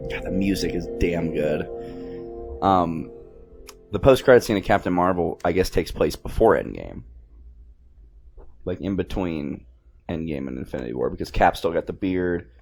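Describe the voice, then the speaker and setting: A man giving casual, slangy, excitable fan commentary as he watches a movie. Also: low voice, normal speed, long pauses, faint music behind. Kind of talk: casual film reaction commentary.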